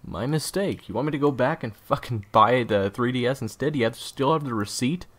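A man's voice: medium pitch, fairly quick, lightly acted. A man playing a weary, evasive assassin in an audio roleplay, talking in a dry, hesitant, sarcastic way. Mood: irritated, sarcastic. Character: sardonic male assassin captor, weary and evasive.

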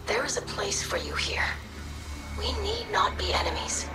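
A woman voicing an earnest, dutiful young female alien scholar in a video game, with formal, precise diction and a breathy voice. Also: fairly high pitch, wildly swooping pitch, moderate pace, whispered. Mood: earnest pleading. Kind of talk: scripted video game dialogue. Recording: music under the voice.

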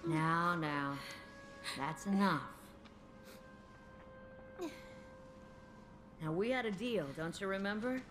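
Game role: seasoned older female field researcher and mentor, lowish voice, musing, assured, music underneath. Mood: soothing, relieved. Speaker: game voice actor. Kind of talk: dramatic video game cutscene acting.

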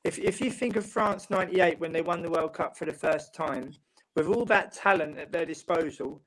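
A middle-aged man from England, a football writer and guest, talking on a video call in a measured, reflective way from a home room. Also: a little high, a bit bright, low-quality recording. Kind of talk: casual panel chat. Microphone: video call webcam.

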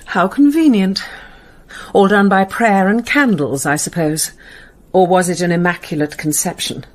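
An English actress in a radio drama playing a sharp, bitter, imperious middle-aged woman barrister with a cutting, sarcastic way of talking. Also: on the low side, a little slow.

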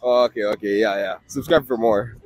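A young man asking passers-by quick questions outdoors, teasing and playful, into a handheld mic. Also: fairly low pitch, background noise. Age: young adult. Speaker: street interviewer. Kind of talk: casual street interview questions. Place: outdoors on a beach promenade and street.

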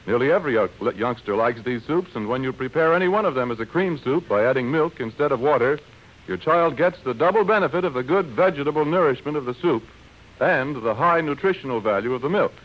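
A man announcing in scripted 1930s radio style, presenting a drama and pitching for its sponsor. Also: lively intonation, fast. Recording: muffled 1930s radio broadcast, music.

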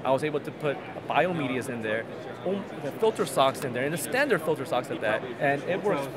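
A man's voice: mid-range voice, expressive, brisk. A man in his thirties hosting an aquarium channel, walking through a trade show and showing products with eager, self-deprecating chatter. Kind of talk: trade show walkthrough and interviews. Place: busy indoor expo hall. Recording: crowd chatter from a busy hall.

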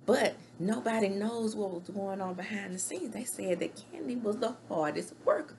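A woman's voice: medium pitch, moderate pace, gravelly, darkish tone, a little hiss or hum. A middle-aged woman giving a chatty, opinionated recap of a reality show from a room at home, recorded on a webcam. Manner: chatty and opinionated, hand gestures. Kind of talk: casual recap commentary. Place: small room at home, indoors. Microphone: webcam at desk distance.

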